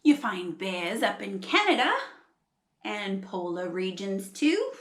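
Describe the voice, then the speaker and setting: A woman's voice: medium pitch, very animated, slow pace, a bit bright, reverberant, voice pushed down. A woman voicing an indignant male koala from a children's picture book, complaining emphatically in rhyme with an attempted Australian accent. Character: indignant male koala fed up with being called bear.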